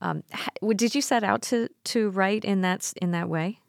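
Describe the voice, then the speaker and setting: A woman hosting a radio interview who asks questions in a gentle, conversational way. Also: mid-range voice, moderate pace, gravelly, dry recording, studio quality.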